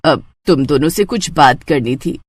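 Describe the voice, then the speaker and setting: A woman puts on an older mother-in-law for a moral cartoon tale. Her pitch sits low. She is thrifty and watchful, handing out nagging household advice like a born lecturer. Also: lively intonation, normal speed, slightly rough, no echo, studio quality. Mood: motherly. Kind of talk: Hindi moral story cartoon acting.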